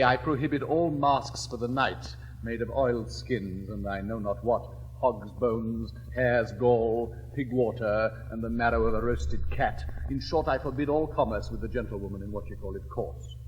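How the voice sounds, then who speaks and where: Man playing an elegant witty gentleman. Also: medium pitch, lo-fi sound, natural voice. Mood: wry. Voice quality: crisp, declamatory, measured. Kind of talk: classic stage comedy acting. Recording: reverberant old recording, faint hiss.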